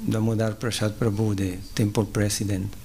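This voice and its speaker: A man from southern Switzerland whose first language is Italian, giving a spiritual lecture at a temple in English with an Italian accent. He speaks haltingly, pausing, using fillers and clearing his throat. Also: fairly low pitch, little pitch movement, warm tone, a little hiss or hum. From Ticino, southern Switzerland. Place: temple hall.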